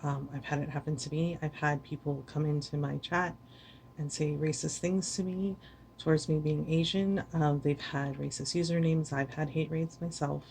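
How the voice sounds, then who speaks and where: A woman's voice: deep voice, steady tone, normal speed, gravelly, a little mellow, poor audio. Woman, streamer, tired, earnest, emphatic, speaking straight to camera, faint hum behind. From United States. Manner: earnest, emphatic, repeats words for stress. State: weary. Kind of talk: impassioned speech to camera.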